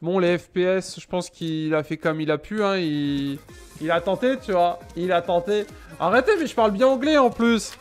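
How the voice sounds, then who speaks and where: French guy in his 30s streaming a game from home, kinda high voice, teasing his chat. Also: moderate pace, clear, resonant tone, faint music behind. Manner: joking, teasing chat, mock-indignant. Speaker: gaming streamer. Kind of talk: casual Let's Play commentary. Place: small room at home.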